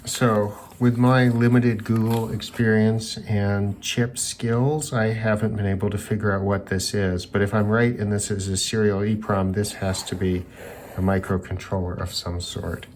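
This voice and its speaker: A man's voice: fairly low pitch, level tone, measured pace, a little mellow, slight background noise. A man giving unscripted commentary as a hobbyist taking electronics apart, musing and tentative as he thinks aloud. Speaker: hobbyist reviewer of radios.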